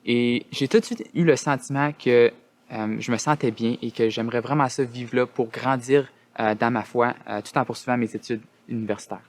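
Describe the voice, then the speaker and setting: A young man from northern Ontario with a Canadian French accent, giving an earnest personal testimonial as a student resident, often pausing on fillers and restarting his phrases. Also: medium pitch, fairly even intonation. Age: young adult. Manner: earnest, hesitant with frequent "euh" fillers and restarts.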